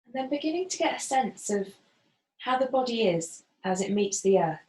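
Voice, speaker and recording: A woman's voice: fairly high pitch, lively intonation. Woman guiding meditation in echoey room. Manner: gentle, unhurried guiding with pauses. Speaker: yoga facilitator. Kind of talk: guided body scan meditation instruction. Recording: roomy, echoing voice.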